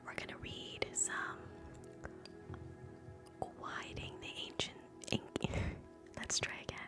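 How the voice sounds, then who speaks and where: A woman hosting live ASMR in a soft, breathy whisper, gentle and soothing, moving between guided relaxation and quiet chat. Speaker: ASMR streamer, host.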